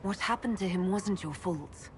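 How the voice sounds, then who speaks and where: An actress playing a composed, patient female leader in a video game, measured and understated in manner. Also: lowish voice, noisy background, close to an everyday voice. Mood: reassuring.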